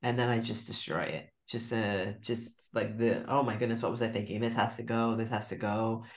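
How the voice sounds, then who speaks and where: A middle-aged woman, a novelist, chatting casually over a video call with a book club, self-deprecating and quick to laugh. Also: very deep voice, fairly even intonation. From Nova Scotia, Canada. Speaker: novelist guest.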